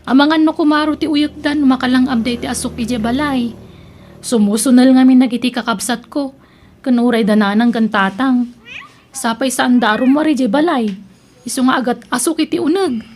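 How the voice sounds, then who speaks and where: A young Ilocano wife in a radio drama, somewhat high in pitch. She pleads and makes excuses to her in-laws over light background noise. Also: fairly quick, darkish tone. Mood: explaining. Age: young adult. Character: apologetic, pregnant young daughter-in-law making excuses.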